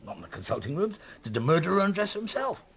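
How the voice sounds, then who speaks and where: A man's voice: sits high, big pitch swings, fast, husky, exaggerated voice. A man acting in a radio play as a middle-aged, curious police inspector on holiday, with a hesitant, musing manner. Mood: musing, dry. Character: retired-seeming police inspector on holiday, mulling, curious, husband.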